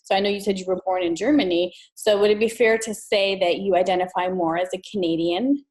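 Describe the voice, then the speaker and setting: A woman's voice: medium pitch, fairly even intonation, fairly quick, a little mellow. A woman born in Ethiopia, interviewing a guest with long, conversational questions.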